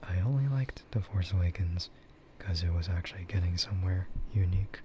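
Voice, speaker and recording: A man speaking softly in a breathy half-whisper, rambling casually and hesitantly like an ASMR streamer close to the mic. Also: sits low, fairly quick. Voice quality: soft, breathy, often whispered. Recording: muffled lo-fi stream audio, clear background noise.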